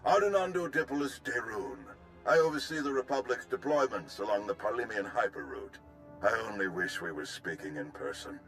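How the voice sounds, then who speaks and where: An actor playing a courteous, formal Republic military overseer in a video game, with polished and effusive speech. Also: male voice, lowish voice, animated, fairly quick, fairly bright tone, faint music behind, low-quality recording. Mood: gracious, enthusiastic. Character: genteel, courteous male Republic military overseer, tea connoisseur. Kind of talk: video game cutscene acting.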